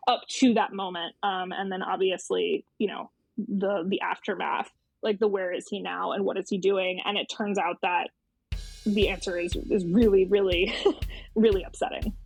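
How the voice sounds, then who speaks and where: A woman's voice: medium pitch, dry recording. Woman explaining thoughtfully, close dry sound. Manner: thoughtful, hedging, circling back to rephrase. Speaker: magazine editor being interviewed. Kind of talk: reflective conversational explanation.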